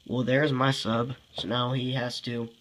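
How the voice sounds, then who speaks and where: A man's voice: medium pitch, flat delivery, a little slow, a bit bright, a little hiss or hum, poor audio. A young man with a congested voice giving casual game commentary, thinking aloud, sighing often and sounding frustrated. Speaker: gameplay commentator.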